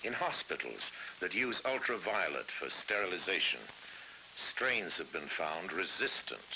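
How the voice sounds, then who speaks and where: A middle-aged man narrating a scripted science documentary in a measured, dramatic way, with weighty pauses. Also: deep voice, vocal fry, sharp tone, rough recording. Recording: hissy old film soundtrack.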